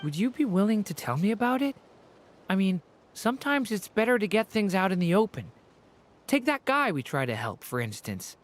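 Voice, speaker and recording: An actor voicing a gentle, earnest young male artificial being in a video game, sincere and a little hesitant. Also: medium pitch, very animated, moderate pace, natural voice. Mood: earnest, careful. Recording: game music behind.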